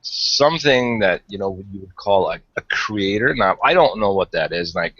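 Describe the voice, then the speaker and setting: A man's voice: lowish voice, lively intonation, moderate pace, a bit bright, husky, dry recording. A Hungarian-born man chatting casually in an online hangout, rambling and hesitant, swearing often. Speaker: guest caller. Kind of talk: casual chat. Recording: lo-fi online call audio.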